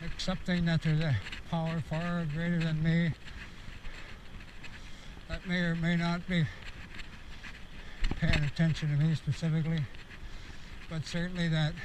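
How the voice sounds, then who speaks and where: Higher-pitched sixty-year-old man, noisy outdoor recording. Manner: candid, meandering, self-reflective. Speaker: vlogger. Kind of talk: rambling reflective monologue. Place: outdoors, cycling on a rural road. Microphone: action camera on the bike or rider. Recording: outdoor wind and road noise.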